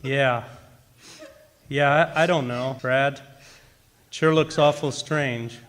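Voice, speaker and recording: A man acting in a radio play as a folksy, baffled forest ranger, talking haltingly in colloquial phrases. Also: mid-range voice, steady tone, fairly bright tone. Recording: echoey hall with background noise.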